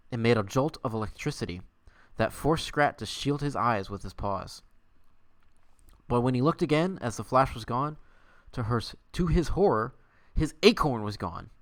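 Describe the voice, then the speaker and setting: A man's voice: medium pitch, fairly bright tone. A man hosting a livestream, mixing mock-dramatic story reading with casual chat in a tongue-in-cheek way. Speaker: livestream host.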